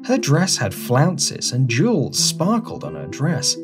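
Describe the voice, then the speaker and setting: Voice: medium pitch, wildly swooping pitch, slow pace, music in the background. A narrator reading a scripted fairy tale for children in storybook style.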